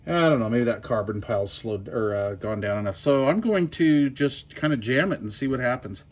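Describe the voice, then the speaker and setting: A man giving a casual hands-on demo as a hobbyist host, folksy and thinking out loud. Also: medium pitch. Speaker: hobbyist host demonstrating a homemade power supply. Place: workshop bench.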